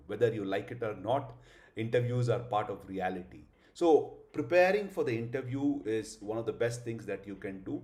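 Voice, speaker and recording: A man's voice: on the low side, darkish tone. A middle-aged man giving a live online class, earnest, with many hesitant fillers and rhetorical questions. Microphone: video call.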